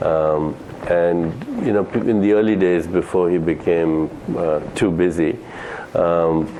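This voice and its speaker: A man with a low, mellow voice talks informally as a spiritual teacher. He is deliberate and halting, pausing between short phrases. Faint room noise sits behind him. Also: poor audio. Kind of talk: informal talk, summarizing points to an audience.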